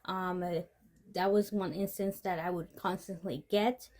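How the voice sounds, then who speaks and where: A woman's voice: on the low side, steady tone, unhurried, a little mellow. A woman who works as a medical assistant, talking casually in an interview with frequent ums and restarts. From United States. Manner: conversational, with many ums and restarts.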